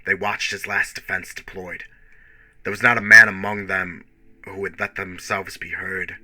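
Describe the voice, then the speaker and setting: A man's voice: sits low, level tone, normal speed, bright, forceful tone. A man giving a grave, theatrical and emphatic scripted narration in the style of a radio play. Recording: music bed under the voice. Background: music.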